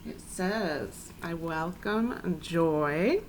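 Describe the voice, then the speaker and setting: A woman with a raspy voice today, giving a chatty, soothing tarot reading to her viewers. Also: lowish voice, very slow, a little hiss or hum. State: raspy, clearing throat. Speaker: tarot reader. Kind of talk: casual spiritual reading.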